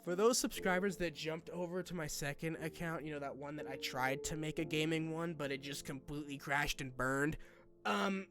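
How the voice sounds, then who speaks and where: Young male vlogger, voice a bit on the high side, informal and halting, colloquial phrasing, light music underneath. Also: fairly bright tone. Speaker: online video creator. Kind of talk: informal personal vlog, talking to subscribers.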